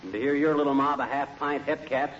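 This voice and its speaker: A man's voice: mid-range voice, fairly quick, a bit bright, natural voice. A man playing a grumpy, wisecracking middle-aged husband in 1940s radio comedy, with a blustering, sarcastic, folksy delivery. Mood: grumbling. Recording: dull, noisy 1940s radio recording.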